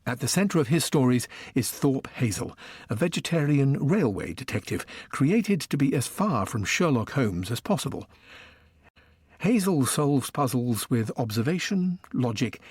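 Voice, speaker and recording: A man's voice: mid-range voice, big pitch swings, moderate pace, a little mellow, breathy edge, no room sound, clean studio sound. A man presenting a mystery story with a scripted introduction.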